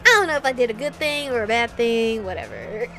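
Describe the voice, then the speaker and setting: A woman hosting a visual novel playthrough, reading game text aloud with expressive, casual reactions and casual swearing. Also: fairly high pitch, fairly quick, soft background music.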